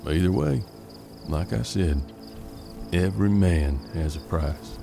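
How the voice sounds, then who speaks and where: A man playing a seasoned, pragmatic bounty hunter in a western audio drama, with measured, folksy frontier talk. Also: low voice, expressive, creaky, over music, exaggerated voice. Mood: measured, shrewd. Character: seasoned male bounty hunter detective of 1870s Kansas, steady, pragmatic.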